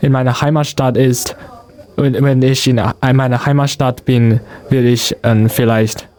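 A young man from southern China with a Chinese accent, answering interview questions as a radio guest in halting, non-native phrasing. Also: mid-range voice, level tone, a little mellow. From Guangzhou, southern China. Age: young adult. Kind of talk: interview answers about everyday culture.

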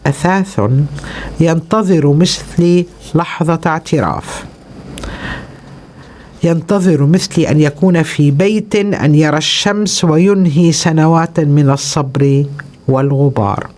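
Guy with a slightly high voice doing spoken word, deliberate and declamatory, short lines with pauses. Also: darkish tone. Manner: deliberate, declamatory, pausing between short phrases. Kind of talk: spoken word poetry recital.

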